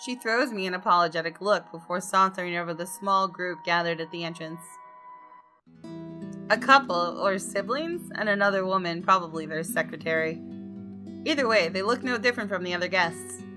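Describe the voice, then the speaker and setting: A woman's voice: on the low side, moderate pace, over music. A woman reading visual novel narration aloud as a gaming video creator, in a dramatic storytelling way with casual asides.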